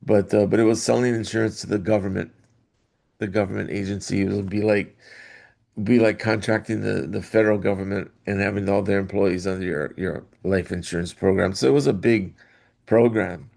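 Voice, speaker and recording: A middle-aged man from Hawaii, a guest in an interview, telling his story in a rambling way with restarts and soft laughs. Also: on the low side, fairly even intonation, a little fast, gravelly. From Hawaii, Oahu. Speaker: guest, athletic director association executive. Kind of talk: casual interview talk.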